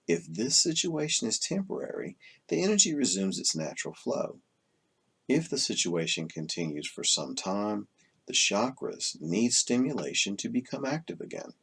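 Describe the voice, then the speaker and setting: A man narrates a self-help audiobook in a very low voice with plenty of creak. The tone is husky and rough rather than clean. He phrases deliberately, pausing between clauses as he reads from the text. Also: very animated, fairly bright tone. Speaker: audiobook reader. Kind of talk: scripted narration, reading a self-help book aloud.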